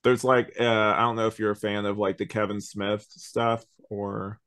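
A man's voice: on the low side, level tone, moderate pace, fairly bright tone, clear, resonant tone, no room sound, clean studio sound. A man hosting a podcast, chatting casually about a review and rambling as he thinks aloud. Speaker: podcast host.

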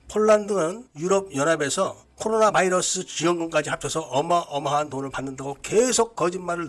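A man delivering scripted political news commentary in an assertive, accusatory manner. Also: a little high. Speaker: political commentary narrator and host.

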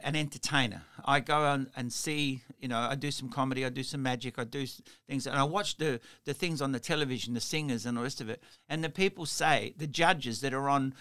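A man's voice: mid-range voice, pushed and bright, smooth. A middle-aged man from Italy with an Australian accent, hosting a casual interview and telling chatty stories with lots of "you know". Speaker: podcast host.

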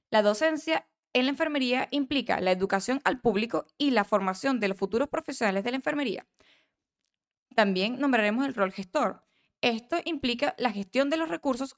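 Young woman explaining nursing roles. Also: a little high. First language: not Catalan. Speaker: nursing student hosting a podcast. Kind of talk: read-aloud educational podcast.

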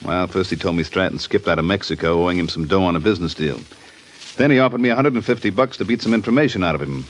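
Tough, slangy male private investigator, low-pitched and gruff, vintage radio crime drama, faint noise. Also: fast talker. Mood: affable. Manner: slangy, tough-guy talk. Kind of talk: old-time radio detective drama acting. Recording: noisy vintage radio recording.

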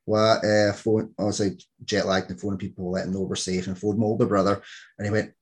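A man's voice: lowish voice, level tone, quick pace, breathy edge. A Scottish man in his thirties hosting a podcast interview, telling stories in a rambling way with many restarts. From Scotland. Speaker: podcast host. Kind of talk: casual interview chat.

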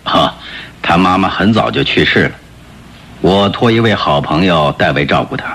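An actor playing a gentle, courteous older marquis in a radio drama, speaking politely with hesitant interjections. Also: male voice, low voice, lively intonation, normal speed, husky, full character voice. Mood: courteous, reserved. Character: gentle, courteous grey-haired aristocratic marquis, a father.

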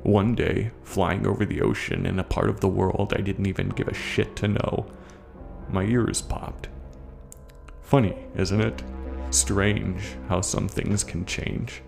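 A man playing a bitter, haunted military veteran in a gravelly, confiding horror story monologue, profane and speaking straight to the listener. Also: deep voice, flat delivery, measured pace, full character voice. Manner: conversational, confiding, addresses the listener directly. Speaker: narrator of a horror short story. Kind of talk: scripted first-person horror monologue. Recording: voice over a music soundtrack.

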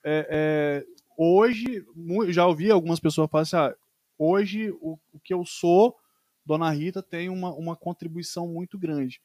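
Brazilian man hosting a casual talk, hesitant and thoughtful, fairly high voice, dry close sound. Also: measured pace, darkish tone, no room sound. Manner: hesitant, searching for words. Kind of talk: casual chat.

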